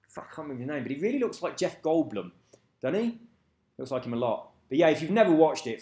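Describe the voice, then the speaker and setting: A man's voice: medium pitch, a little mellow. Rambling man in a roomy space. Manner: rambling, swears freely, loses track of names. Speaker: vlogger. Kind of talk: casual rambling chat to camera. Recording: echoey room.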